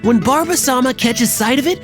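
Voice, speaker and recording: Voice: sits high, a little fast, over music, close to an everyday voice. An actor playing a naive, lovestruck young admirer in a video game, gushing and theatrical. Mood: gushing, eager.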